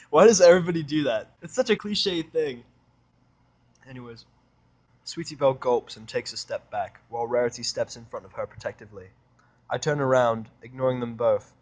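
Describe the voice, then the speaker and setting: A man's voice: medium pitch, low-quality recording. A male narrator reads a first-person fan-fiction story. His voice sits in the middle range for a man, and he tells it expressively, as an audio drama reader.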